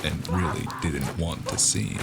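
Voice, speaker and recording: An actor playing a weary, battered investigator narrating a first-person noir audio drama in a gravelly voice. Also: male voice, very deep voice, a little slow, loud music behind the voice, heavily acted. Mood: grim, wry.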